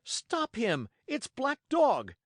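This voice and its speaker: A man voicing an eager young cabin boy who speaks in high, breathless cries. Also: huge pitch swings, drawn-out words, dry recording, clean studio sound, heavily acted. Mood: alarmed.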